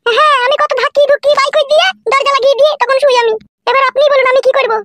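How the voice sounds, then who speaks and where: A woman's voice: extremely high pitch, fairly even intonation, racing, fairly bright tone, dry recording. A woman voicing a pushy, indignant young village widow in a comedy cartoon, complaining insistently. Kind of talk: Bengali comedy cartoon dubbing.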